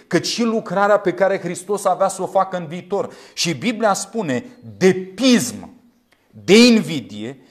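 A man in his thirties from Romania preaching a sermon in an earnest, emphatic way, using deliberate repetition. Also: sits high, a bit bright. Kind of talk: sermon with scripture commentary. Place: small indoor room, standing at a glass pulpit. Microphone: lapel mic.

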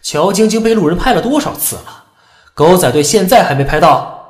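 An actor voices a scornful gossip-forum poster in an audio drama. The pitch moves sharply up and down inside a strong echo. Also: animated, fairly quick, natural voice. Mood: snide. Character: snide gossip-forum poster mocking a celebrity. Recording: echoey forum-post effect.

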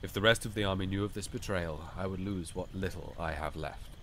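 A man voicing a noble, weary warrior king in an audiobook, speaking in a formal, grave and measured way. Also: deep voice, a bit bright, a little hiss or hum. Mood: solemn, resigned. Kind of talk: audiobook character dialogue.

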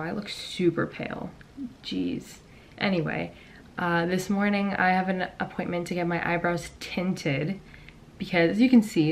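A young woman vlogging casually from her bedroom, chatty and rambling with lots of ums and likes, her voice raspy from just waking up. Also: on the low side, a little hiss or hum. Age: young adult. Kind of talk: casual vlog chat. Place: bedroom at home. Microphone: camera in front at desk.

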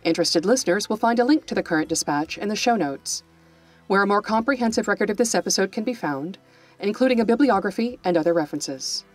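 A woman hosting a folklore podcast, reading a scripted introduction in a bright, eager way with playful asides. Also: mid-range voice, lively intonation, quick pace, faint music behind.

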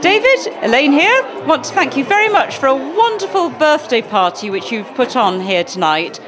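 A woman's voice: high-pitched, sing-song, moderate pace, music in the background, crowd noise. A woman guest giving a playful birthday message and reciting a sing-song verse. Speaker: party guest.

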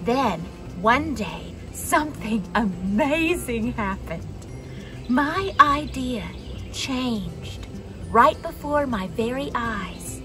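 A young adult woman reading a children's picture book aloud expressively, with dramatic pauses and emphasis. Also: fairly high pitch, animated, over music. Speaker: storybook reader for a children's church message. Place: outdoors on a wooden deck among trees.

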